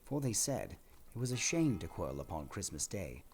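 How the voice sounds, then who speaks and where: A man narrates a story theatrically in a low voice. His intonation is very lively and expressive, with faint ambient noise behind him. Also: normal speed. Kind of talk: scripted dramatic storytelling narration.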